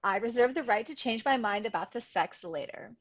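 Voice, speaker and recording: A woman's voice: fairly high pitch, lively intonation, fairly quick. A woman reading the part of a guarded, cool young woman in a screenplay table read, with a dry, understated delivery. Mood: cool, frank. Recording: dull, lo-fi voice recording.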